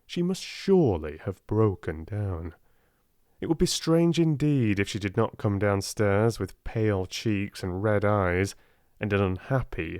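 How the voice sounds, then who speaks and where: A man with a British accent reading a storybook as a soft-spoken audiobook narrator. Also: on the low side, dry recording, clean studio sound.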